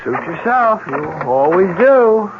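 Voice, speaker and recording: An actor playing a skeptical, stubborn husband and innkeeper in a radio drama, impatient and dismissive. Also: male voice, high voice, big pitch swings, takes it slowly, exaggerated voice. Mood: exasperated. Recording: muffled radio broadcast, faint noise.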